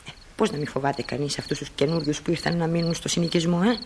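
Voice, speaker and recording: An actress playing a caring, gently chiding small-town doctor's wife in radio theatre, soothing and coaxing in manner. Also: female voice, low voice, steady tone, background noise. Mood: worried, fussing.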